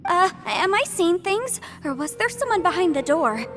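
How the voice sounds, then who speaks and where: Very high-voiced youthful companion. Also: female voice, big pitch swings, moderate pace, a bit bright, slight background noise, exaggerated voice. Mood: puzzled. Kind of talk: video game voice acting.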